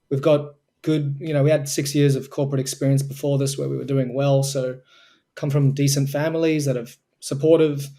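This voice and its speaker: A man's voice: mid-range voice, mellow. A young Australian man, a guest in an interview, explaining his work earnestly and fluently. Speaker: guest, co-founder of a tech charity. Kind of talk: remote interview answers.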